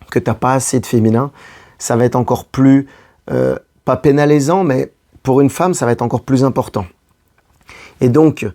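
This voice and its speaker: Hoarse male love coach, mellow-toned, chatty direct-to-camera advice. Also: medium pitch. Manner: addresses the listener directly, repeating key phrases. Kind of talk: casual advice monologue to camera.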